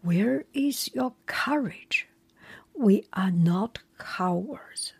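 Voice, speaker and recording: Outraged proud male warrior whispered by a woman, very breathy, very slow. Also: medium pitch, animated, darkish tone, full character voice. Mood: defiant. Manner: whispered defiant challenge.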